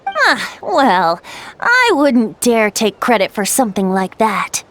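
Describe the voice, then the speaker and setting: A playful, whimsical bard in an anime game. The high voice lilts theatrically at a leisurely pace, like a storyteller savouring each phrase. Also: female voice, huge pitch swings, slow pace, fairly bright tone, slight background noise, heavily acted. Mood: mock modest. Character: whimsical, playful bard, light-hearted, slyly modest storyteller. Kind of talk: scripted anime game voice acting.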